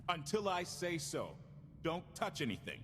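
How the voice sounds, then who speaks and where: An actor playing a brash, energetic starship pilot in a sci-fi video game, urgent and bossy. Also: male voice, on the high side, normal speed. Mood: hurried, bossy. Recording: echoing hall, faint noise.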